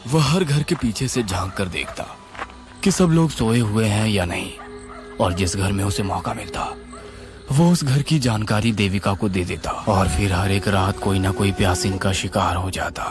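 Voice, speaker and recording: A man narrating a scripted horror story in a suspenseful, dramatic, expressive way. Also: fairly low pitch, normal speed. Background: music.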